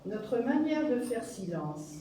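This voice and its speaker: A woman's voice: a little high, big pitch swings, slow, dark tone, slight background noise. A woman alternating lines of a text read aloud at a wedding, reading expressively. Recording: very echoey hall, distant mic.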